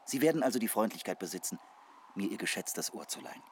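A lowish-voiced, aggrieved gentleman played by a man, stilted and pompous. He is menacingly courteous, in an absurd radio play with faint noise behind him. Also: animated, fast talker, close to an everyday voice. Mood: courteous menace. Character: pompous aggrieved caller, politely threatening with a snow shovel. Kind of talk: absurd comic radio drama acting.

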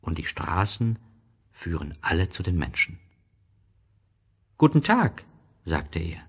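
A man's voice: sits low, a little slow, bright, forceful tone, husky. A man narrating a literary tale expressively, as a storybook reader.

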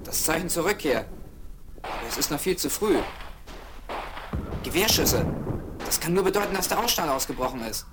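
A performer playing an eager young cabin boy in a 1970s radio play, speaking in quick, earnest replies. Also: fairly high pitch, animated, close to an everyday voice. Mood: urgent. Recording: noisy vinyl record.

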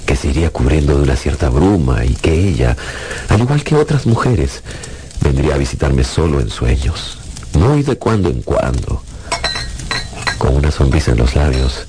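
A man's voice: very deep voice, big pitch swings, moderate pace, darkish tone, a little hiss or hum. An actor playing a melancholy middle-aged married man who recounts a lost love in a reflective radio drama narration. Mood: longing. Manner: wistful, reflective. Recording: music bed under the voice. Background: music.

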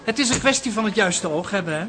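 An actor playing a cheerful, easygoing, slightly vain photographer in a radio play. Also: male voice, high voice, expressive, fast, loud music behind the voice. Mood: breezy.

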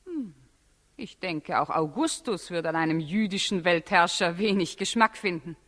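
A woman's voice: on the low side, expressive, moderate pace, fairly bright tone. An actress in a 1960s radio play as a cool, shrewd, manipulative queen, speaking theatrically and with calculation. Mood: sly. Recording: 1960s radio play, long reverberant hall.

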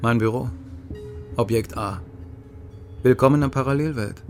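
A man acting as a commanding East German secret police officer in a radio play. His mid-pitched voice is clipped, wry and conspiratorial, very sure of itself, over faint music. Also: normal speed, natural voice. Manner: clipped, self-assured, conspiratorial. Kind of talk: radio crime drama dialogue.

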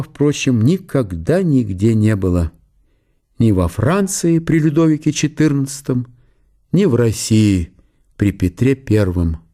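A man's voice: mid-range voice, warm tone, lightly acted. A man narrating a historical audio play in a scripted, literary storytelling style.

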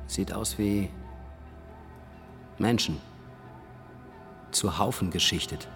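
A man's voice: deep voice, slow, gravelly, fairly bright tone, full character voice. An actor playing a baffled, uneasy, stubbornly blunt man in radio drama dialogue, speaking in terse, hesitant phrases. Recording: long reverb, faint music behind.

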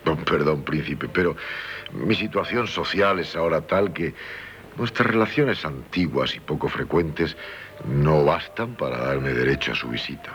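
Very deep, halting, tormented gentleman. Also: dull audio. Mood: courteous, wounded. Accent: Castilian Spanish. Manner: halting, stammering, repeating words. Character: dissolute, guilt-ridden, passionate gentleman in love. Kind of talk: 1970s radio theatre acting. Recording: 1970s radio drama with faint music.

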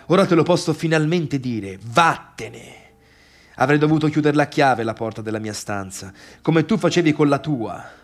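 A man's voice: fairly high pitch, natural voice. A man playing a bitter adult son in a theatrical monologue, intense and reproachful, at times sinking to a near-murmur. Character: tired, bitter adult son confronting his overbearing father.

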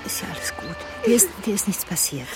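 A woman playing a composed private detective in a radio drama, reassuring and quietly persistent. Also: lowish voice, big pitch swings, fast talker, music in the background. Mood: soothing. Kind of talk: radio drama narration and dialogue.

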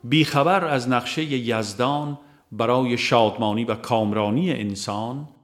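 A man narrating a religious audiobook in a formal, measured reading style. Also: mid-range voice, soft background music. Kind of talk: scripted narration of a religious book.

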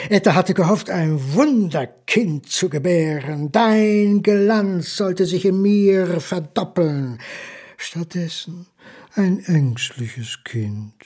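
Husky, slow, bitter abandoned son. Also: male voice, a little high, a little mellow, heavily acted. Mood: reproachful. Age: middle-aged. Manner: theatrical, mocking, swinging between outbursts and whispers. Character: bitter, lonely illegitimate son accusing his absent father. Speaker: actor in a radio drama. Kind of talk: German radio drama monologue.

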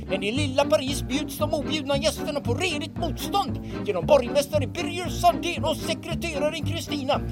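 Casting: female actor as serial announcer, high voice, declamatory and suspenseful over loud music. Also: natural voice. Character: dramatic female announcer of a wartime adventure serial. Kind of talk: scripted radio serial narration.

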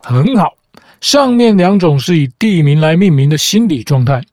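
A man hosting a podcast, calmly narrating a scripted explanation in a measured storytelling manner. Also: a little high, slight background noise. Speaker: podcast host. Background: background music.

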